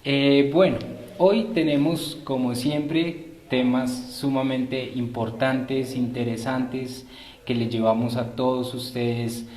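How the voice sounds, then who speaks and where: A man's voice: medium pitch, steady tone, slow pace, darkish tone. A man hosting a live stream, politely greeting and informing viewers in a hesitant, improvised way. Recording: roomy echo.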